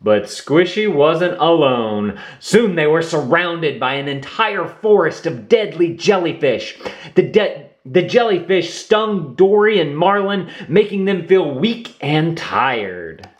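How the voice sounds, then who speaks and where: A man reading a children's storybook aloud in an expressive storytelling manner. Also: a little high, takes it slowly, muffled recording. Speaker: storybook reader. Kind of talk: children's read-aloud narration. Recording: roomy echo.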